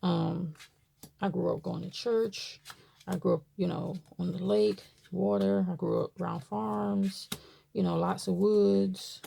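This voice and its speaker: A woman's voice: lowish voice, fairly even intonation, takes it slowly, creaky, dark tone, slight background noise. A woman from Baltimore chatting casually to camera, rambling with many fillers as she shows items. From Baltimore, USA. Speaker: tarot deck reviewer.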